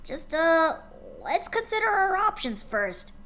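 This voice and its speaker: A woman voicing a brash, sporty young female pegasus pony who talks loudly and energetically. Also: very high voice, slow pace, soft background music, pitched above the performer's own voice, heavily acted, low-quality recording. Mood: earnest. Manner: loud and energetic.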